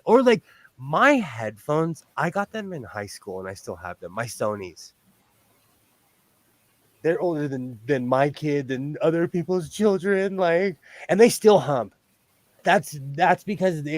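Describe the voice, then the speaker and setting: A man in his forties with a fairly high, loud voice, rambling through casual chat as he sews on a livestream. He laughs often and breaks off mid-sentence to start again. The sound is close and dry, with no room echo. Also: very animated, unhurried. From lives on the West Coast of the US. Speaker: sewing livestream host. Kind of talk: casual chat while sewing.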